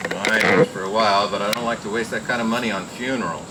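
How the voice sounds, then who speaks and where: An actor playing a world-weary, wisecracking private detective with a flippant, hard-boiled manner in an old-time radio drama. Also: male voice, lowish voice, fast talker, natural voice. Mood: groggy, sardonic. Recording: noisy, hissy radio play recording.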